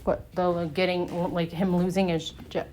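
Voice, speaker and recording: A woman giving casual reaction commentary in a skeptical, halting way. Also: lowish voice, flat delivery, a little mellow, slight background noise. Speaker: commentary channel creator.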